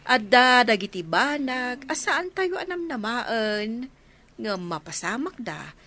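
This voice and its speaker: A woman's voice: a little high, very animated, takes it slowly, bright, forceful tone, heavily acted. A woman playing a consoling, practical and outspoken village woman with an Ilocano accent in a radio drama.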